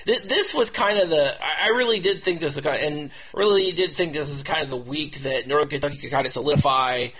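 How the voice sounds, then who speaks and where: A man's voice: on the high side, fairly bright tone, slightly rough. A man hosting a casual sports talk show, repeating himself and restarting phrases as he goes. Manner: halting, repetitive, restarts phrases over and over. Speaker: podcast host.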